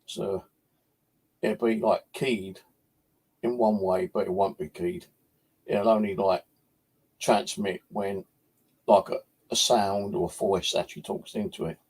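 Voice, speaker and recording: A man's voice: fairly low pitch, fairly even intonation, measured pace, pauses often, a little mellow, breathy edge. A British man chatting casually on a livestream as its host, rambling and hesitant in an informal way.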